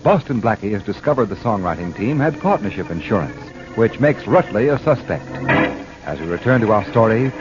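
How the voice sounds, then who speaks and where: A man's voice: on the low side, sing-song, fairly quick, music in the background. A male announcer reading scripted ads and story narration with polished emphasis on 1940s radio. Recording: dull 1940s radio broadcast recording.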